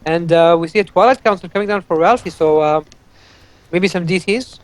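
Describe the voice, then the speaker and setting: A man co-casting a live strategy game, giving measured play-by-play with frequent fillers. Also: high-pitched. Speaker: esports co-caster.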